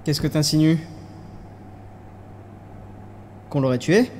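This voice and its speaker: A man voicing an earnest, practical crewmate in a dramatic animated film dub, urgent and tense. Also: a little high, brisk, slight background noise, close to an everyday voice. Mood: defensive.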